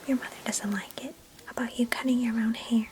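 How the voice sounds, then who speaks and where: A woman voicing a chatty, mischievous and affectionate young girl cousin in an ASMR roleplay, in a breathy, intimate whisper close to the mic. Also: a little high, steady tone, fairly quick, faint music behind, lightly acted. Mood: coaxing, mischievous. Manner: gentle, chatty, storybook-like old-fashioned phrasing. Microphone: close microphone.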